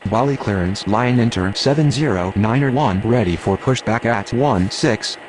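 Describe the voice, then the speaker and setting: A man acts a male pilot or controller in a game. His voice sits a bit low. He recites standard radio phraseology over background noise. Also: normal speed, natural voice. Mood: procedural. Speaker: air traffic radio voice in a game. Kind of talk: scripted air traffic control phraseology.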